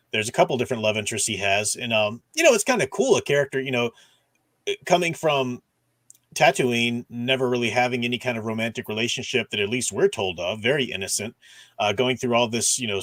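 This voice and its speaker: A man's voice: mid-range voice, a bit bright, no room sound. A man hosting a livestream, casually discussing books and reading out viewer comments with an enthusiastic fan's rambling asides. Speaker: livestream host.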